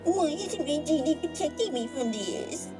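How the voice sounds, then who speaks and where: High-pitched, showboating rabbit villainess over music. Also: female voice, big pitch swings, moderate pace. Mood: gloating, menacing. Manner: showboating, mocking, hammy stage-host delivery. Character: gloating theatrical rabbit villainess, trickster magical djinn. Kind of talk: scripted audio drama villain monologue. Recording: music under the voice.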